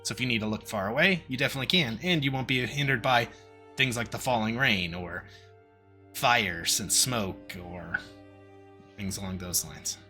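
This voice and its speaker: A man with a bright, forward voice runs a tabletop roleplaying game as its game master. He describes things in a conversational, improvised way. Music plays softly behind him. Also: mid-range voice, moderate pace. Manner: descriptive, conversational, explains with casual comparisons.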